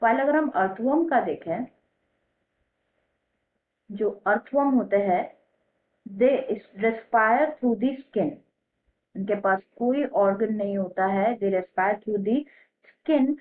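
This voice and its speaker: The recording is narrow and phone-like. An Indian woman speaks as a teacher giving an explanatory lesson at a slow pace. She repeats key terms deliberately, as if dictating notes, in a medium-pitched voice. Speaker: biology teacher. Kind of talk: explanatory lesson in mixed Hindi and English. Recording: muffled phone-like narrow-band audio.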